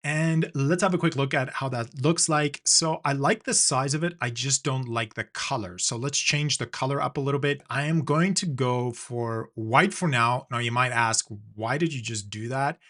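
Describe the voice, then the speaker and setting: A man's voice: mid-range voice, moderate pace, hardly any pauses, pushed and bright. A man from South Africa narrating a screen-recorded design tutorial, talking casually and walking through each step with the odd filler.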